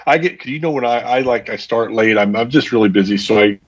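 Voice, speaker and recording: A man with a husky voice chatting casually and self-deprecatingly, apologetic with chuckles, over muffled lo-fi audio. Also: medium pitch, fast. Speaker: audio drama performer. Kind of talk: casual group chat.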